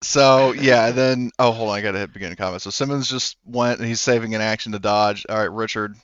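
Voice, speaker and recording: A man acting as game master in a tabletop game, talking with frequent pauses and fillers. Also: on the low side, fairly even intonation, a bit bright, smooth, no room sound, dull audio. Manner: hesitant, lots of fillers and restarts.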